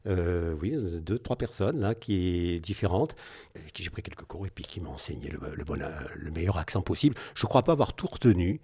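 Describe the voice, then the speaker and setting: A man's voice: deep voice, expressive, a little fast, darkish tone, slightly rough. A man in his sixties, a guest on a radio interview, speaking reflectively with frequent hesitations. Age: 60s. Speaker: guest, singer and naturopath.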